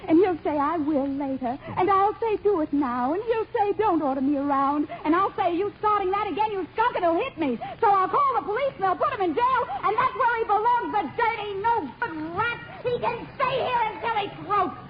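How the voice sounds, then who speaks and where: An actress playing a melodramatic prisoner's wife in an old radio comedy, in a theatrical manner. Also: female voice, very high-pitched, expressive, moderate pace, hardly any pauses. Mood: furious. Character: tearful, melodramatic wife of a prisoner, quick to scold. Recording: muffled, faintly noisy old radio recording.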